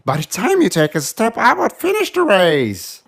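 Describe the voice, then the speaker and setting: A woman voicing a vain, mocking storybook rabbit who brags in an exaggerated, drawn-out way. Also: medium pitch, wildly swooping pitch. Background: music.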